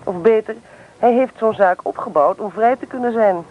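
Actress playing young female student, high voice, headstrong, teasing, blunt, radio play, slightly noisy old recording. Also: moderate pace, phone-quality sound, lightly acted. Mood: dreamy, determined. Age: young adult. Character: headstrong, teasing young woman student, self-assured daughter of businessman. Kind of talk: radio play acting, naturalistic dialogue.

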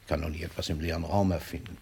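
An actor playing a wry, indulgent elderly poet in a German radio play, with a husky voice and a measured, avuncular manner. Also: male voice, extremely low pitch, level tone, warm tone, full character voice. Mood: gently impatient. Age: older.

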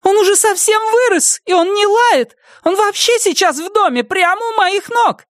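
A woman voicing a timid, high-strung older woman with a high, thin, trembling voice, shrieking in panic. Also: expressive, normal speed, no room sound, clean studio sound. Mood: panicked shrill. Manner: shrieking, panicked, near tears. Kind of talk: audiobook character voice.

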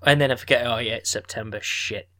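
A man's voice: medium pitch, fairly even intonation, quick pace, pushed and bright. A man around thirty, co-hosting a podcast in casual chat, joking and swearing freely. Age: 30s. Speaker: podcast co-host.

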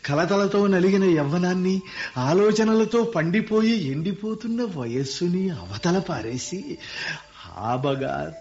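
Gentle older businessman, fairly high voice, earnest, measured, poetic, radio drama, muffled recording. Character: successful ageing businessman, gentle, wistful, longing for village home. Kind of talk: radio play acting.

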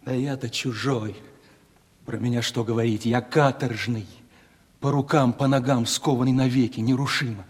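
An actor playing a browbeaten, gloomy yet boastful young merchant's son in a 1970s Russian radio play, with folksy provincial speech. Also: male voice, mid-range voice, steady tone, moderate pace, breathy edge, lightly acted. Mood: gloomy, despairing. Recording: reverberant studio radio play recording.

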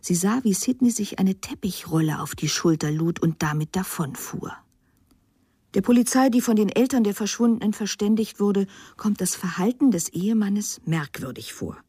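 A woman narrates a crime story from a script. Her voice is mellow and husky, and her telling is measured and suspenseful. The sound is close and dry. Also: mid-range voice, expressive, dry recording.

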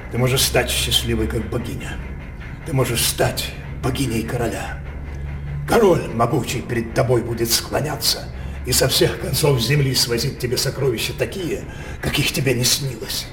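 An actor playing a domineering, middle-aged noble father in a theatrical radio drama, declaiming grandly with slow dramatic pauses. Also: mid-range voice, close to an everyday voice. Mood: grand, seductive. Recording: roomy, distant stage sound with music.

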